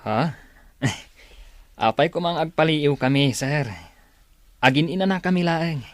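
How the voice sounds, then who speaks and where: An actor playing an eager, jittery petty thief's sidekick in an Ilocano radio drama, speaking with an Ilocano accent. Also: male voice, on the high side, expressive, unhurried, no echo, studio quality. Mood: wary, polite.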